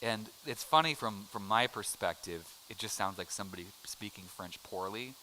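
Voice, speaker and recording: A man's voice: lowish voice, moderate pace, bright, forceful tone, slight background noise, rough recording. A middle-aged American man teaching a casual adult Bible class, chatty and joking as he quizzes the room. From United States. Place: church classroom.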